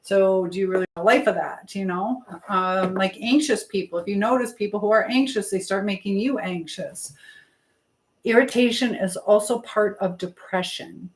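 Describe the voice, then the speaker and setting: A woman's voice: medium pitch, moderate pace, roomy sound, low-quality recording. A woman hosting a live stream in casual chat, drifting informally between advice to viewers and comments on what she is doing.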